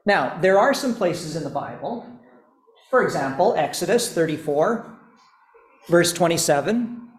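A male teacher lectures slowly and deliberately, pausing between phrases. His voice sits a little high. The room around him is echoey. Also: expressive. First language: not English, also speaks Estonian. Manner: slow, deliberate explaining with pauses. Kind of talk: classroom lecture.

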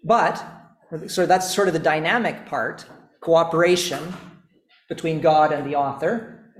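A man teaching a class, explaining slowly and deliberately with pauses. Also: on the high side. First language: not English, also speaks Estonian. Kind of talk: classroom lecture. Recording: echoey room.

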